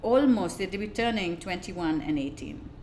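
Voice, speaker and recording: A woman's voice: on the low side, animated, measured pace, darkish tone, slight background noise. A woman in her forties from India, a guest on a podcast, chatting and laughing often. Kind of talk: podcast interview. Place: room in Dubai. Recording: roomy echo.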